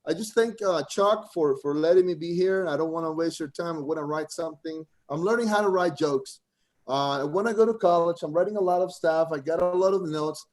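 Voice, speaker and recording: A man from Costa Rica with a Costa Rican Spanish accent and Spanish as his first language, doing a halting first stand-up set over a video call and often restarting his sentences. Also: fairly high pitch. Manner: halting, frequent restarts, keeps saying "man". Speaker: first-time open mic comedian.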